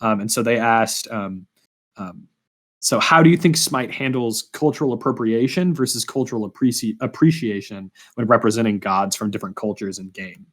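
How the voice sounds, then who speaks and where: A man's voice: on the low side, normal speed. A man interviewing as a podcast host in a casual, informal way, often stumbling over his words.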